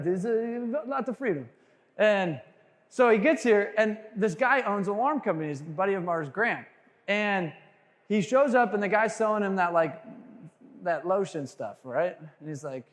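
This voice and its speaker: A man giving a lively sales training talk to a live audience, joking with the crowd and asking for hands. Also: high-pitched. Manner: animated, jokey, quizzes the crowd. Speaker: sales trainer speaking to a room. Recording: long hall reverb.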